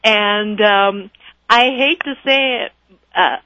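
A woman, a guest telling her story in a radio interview, lively and animated. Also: medium pitch, slow pace, dry recording, low-quality recording. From Texas, raised in California.

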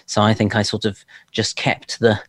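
A man's voice: on the low side, monotone, normal speed, no echo. A man from London with an English Received Pronunciation accent, chatting anecdotally as an interview guest in his home office. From London, England. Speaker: interview guest, performance coach and performer. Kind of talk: conversational interview answers.

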